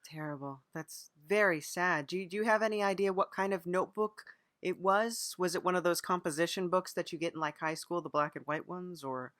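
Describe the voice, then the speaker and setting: Woman podcast interviewer, slightly low voice, hesitant, repetitive, mock-serious improvised delivery, dry close sound. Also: fairly quick, hardly any pauses, a bit bright, no echo. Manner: hesitant, repeats phrases, plays along earnestly.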